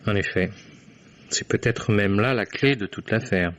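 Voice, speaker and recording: An actor playing a courteous, persistent lawyer who questions deliberately, in the style of 1950s French radio drama. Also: male voice, fairly low pitch, a bit bright. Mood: courteous, probing. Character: courteous, persistent male New York lawyer investigating a case. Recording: dull, band-limited vintage radio recording.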